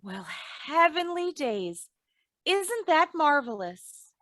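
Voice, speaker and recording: A woman acting a sensible wife who drily teases and gently scolds in an old-time radio comedy. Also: high-pitched, sing-song, slow pace, no room sound, clean studio sound, heavily acted. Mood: friendly, amused.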